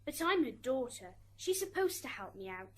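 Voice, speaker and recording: A woman's voice: high voice, sing-song, moderate pace. A woman acting a resentful, self-justifying schoolgirl daughter in an amateur radio drama. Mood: defensive. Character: resentful schoolgirl daughter testifying in afterlife court. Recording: hissy amateur recording, background noise.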